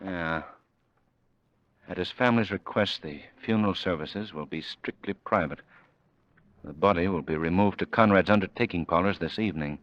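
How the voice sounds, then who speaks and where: An actor playing a confident, wry amateur sleuth who talks smoothly and sardonically in an old-time radio drama. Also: male voice, low voice, moderate pace, a bit bright, dry recording. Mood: sceptical, resolute. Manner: smooth-talking, sardonic, assured. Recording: dull old radio recording.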